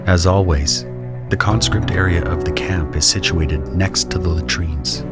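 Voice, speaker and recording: A man with a deep voice narrates a scripted audio drama in the first person. Music plays clearly beneath his narration. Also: steady tone, unhurried.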